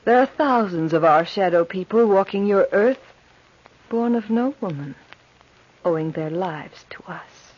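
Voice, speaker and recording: A woman's voice: mid-range voice, lively intonation. A woman playing a serene, sinister supernatural spinner of fates in an old radio horror drama, murmuring ominously. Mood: sly, proud. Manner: measured, ominous, breathy murmuring. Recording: dull old radio recording.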